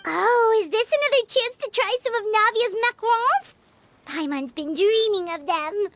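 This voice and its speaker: A woman voicing a tiny, childlike fairy companion in a video game, squeaky, cheerful and food-loving. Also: lively intonation, normal speed, a bit bright, slight background noise, heavily acted. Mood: eager. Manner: exclamatory, refers to self in third person. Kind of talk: animated video game voice acting. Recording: prominent game music behind.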